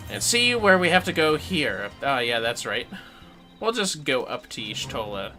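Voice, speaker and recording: Low-key man chatting on a gaming livestream. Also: very animated, normal speed, bright, forceful tone, soft background music. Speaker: game livestreamer. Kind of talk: casual gaming livestream chat.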